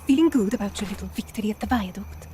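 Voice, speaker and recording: An actress playing a proud, resolute Italian woman who leads wartime partisans in a video game, grave and measured, with an Italian accent. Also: mid-range voice, mellow, slight background noise, lightly acted. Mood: urgent, grave. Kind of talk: video game cutscene acting.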